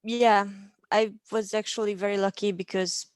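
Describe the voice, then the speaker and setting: A woman's voice: mid-range voice, steady tone, moderate pace, smooth, no echo, clean studio sound. A Hungarian woman in her thirties, a guest in a casual interview, talking in a friendly way with frequent ums and light laughs. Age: 30s.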